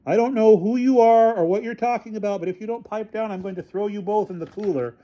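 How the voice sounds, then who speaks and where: A man's voice: a little high, moderate pace, muffled recording, voice pushed up, close to an everyday voice. A man voicing a fed-up storybook desk sergeant, firm and scolding. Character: gruff, fed-up male desk sergeant breaking up a quarrel.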